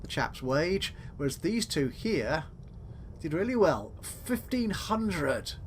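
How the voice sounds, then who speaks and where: A man's voice: fairly high pitch, big pitch swings, takes it slowly, slight background noise. A man giving casual let's play commentary, chatty and thinking aloud as he goes. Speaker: gaming video host.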